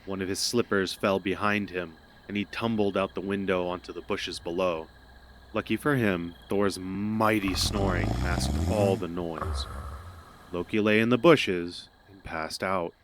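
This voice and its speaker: A man's voice: low-pitched, unhurried. A man narrating a scripted audio drama as a storyteller. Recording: noticeable background noise.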